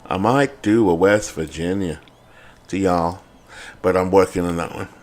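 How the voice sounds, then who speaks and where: A man's voice: low voice, unhurried, darkish tone. A British man hosting a baseball sim game channel, chatting casually and rambling with fillers and self-corrections.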